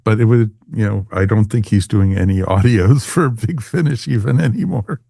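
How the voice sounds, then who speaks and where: A man's voice: lowish voice, moderate pace, vocal fry, mellow, no echo, studio quality. A man hosting a podcast in casual review chat.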